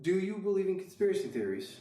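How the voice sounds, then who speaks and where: A man with a slightly high voice trades casual podcast banter. He is playful and teasing. The sound is quite roomy, and he sits a bit back from the microphone. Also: sing-song, fast, mellow, slight background noise. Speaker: podcast co-host. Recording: roomy echo, distant from mic.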